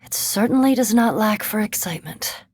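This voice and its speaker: An actress playing a cool, scholarly, curious vampire animancer in a fantasy video game, speaking formally and precisely with a dry, reserved manner. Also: female voice, mid-range voice, lively intonation, normal speed, a little mellow, husky, no room sound. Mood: reflective.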